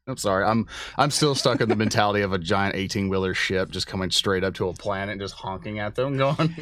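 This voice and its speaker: A man's voice: fairly low pitch, brisk, fairly bright tone. A man hosting a casual livestream interview, reading out audience questions in an informal, joking way and sometimes losing his thread.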